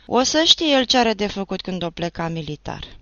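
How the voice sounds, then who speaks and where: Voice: medium pitch, big pitch swings, bright, forceful tone, no room sound, lo-fi sound, voice pushed up. A reader voicing a grown village man, a war veteran, who answers back with blunt mocking retorts. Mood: defiant. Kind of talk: audiobook narration.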